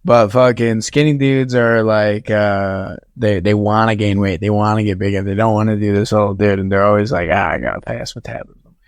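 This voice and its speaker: Promoter dude on a podcast, blunt, cusses a lot, dry mic. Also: male voice, fairly low pitch, fairly even intonation, normal speed, smooth, no echo. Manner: blunt, profane, matter-of-fact. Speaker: guest, event promoter. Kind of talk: casual chat.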